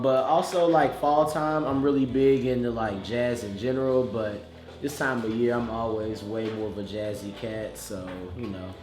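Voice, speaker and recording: A man in a relaxed, laid-back casual chat. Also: mid-range voice. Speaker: guest. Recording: echoey room with music.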